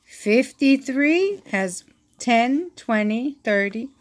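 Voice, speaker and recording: A woman reads a children's picture book aloud at a very slow, careful pace. Her voice sits a little high, and she counts out loud and asks the young listener questions. She is recorded on a phone held above the book on a table. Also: animated. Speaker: read-aloud teacher.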